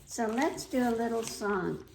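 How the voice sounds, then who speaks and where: A woman hosting a children's storytime, reading aloud and pausing to put simple questions to young listeners. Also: a little high, vocal fry, a little mellow, slight background noise, poor audio. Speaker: children's library storytime host.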